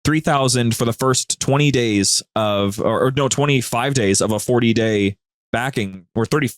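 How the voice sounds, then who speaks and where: Man in animated, rambling casual chat. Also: mid-range voice, normal speed, dry recording, studio quality. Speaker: podcast guest, co-host of a gaming media group.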